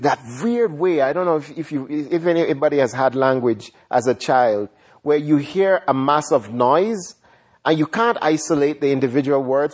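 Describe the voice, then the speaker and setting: Husky man answering reflectively. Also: mid-range voice, darkish tone. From Ghana. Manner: reflective, unhurried, repeats words as he thinks. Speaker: writer and guest.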